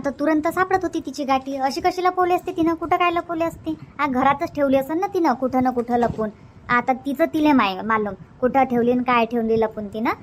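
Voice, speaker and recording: Cartoon village auntie played by a woman, high voice, Vidarbha Marathi accent, suspicious gossip with emphatic questioning. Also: a little hiss or hum, close to an everyday voice. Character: gossipy village aunt, suspicious, chatty older relative. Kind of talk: cartoon comedy dubbing.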